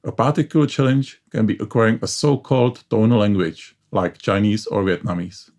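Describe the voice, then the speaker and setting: A man's voice: on the low side, steady tone, fairly quick, a little mellow. Man narrating. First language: Czech. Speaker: educational channel host. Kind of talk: scripted explainer narration.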